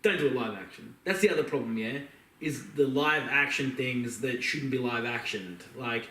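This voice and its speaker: A man's voice: mid-range voice, normal speed, pushed and bright. A man co-hosting a podcast, chatting casually in a slangy, opinionated and joking way. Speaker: podcast co-host. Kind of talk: casual chat about video games and films. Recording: roomy echo, distant mic.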